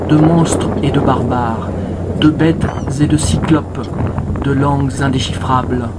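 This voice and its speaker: A man's voice: medium pitch, heavy background noise. A man recites poetry solemnly as a film voice-over, declaiming in short, separated phrases.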